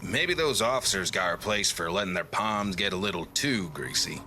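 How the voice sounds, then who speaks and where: A man's voice: low voice, lively intonation, normal speed, bright, forceful tone, faint music behind. A man voicing an amiable, down-on-his-luck traveler in a video game, chatty and wryly explaining things. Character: amiable down-on-his-luck male traveler offering paid courier work. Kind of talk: video game dialogue acting.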